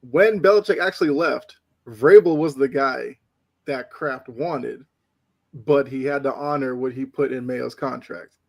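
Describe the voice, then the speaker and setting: Measured male podcast panelist. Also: mid-range voice, gravelly. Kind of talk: casual sports podcast chat.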